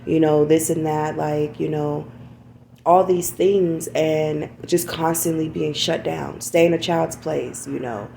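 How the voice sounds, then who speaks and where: Low, gravelly woman speaking candidly. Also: fairly even intonation, slow pace, mellow, a little hiss or hum. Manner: candid, repeats "you know what I'm saying". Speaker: podcast host. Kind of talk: reflective personal monologue.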